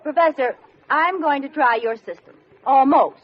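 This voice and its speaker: An actress playing an eager, scatterbrained, stubborn housewife in an old-time radio sitcom. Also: female voice, high-pitched, normal speed, highs cut off, over a noisy crowd, lightly acted. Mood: eager, skeptical. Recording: noisy old radio recording, studio audience.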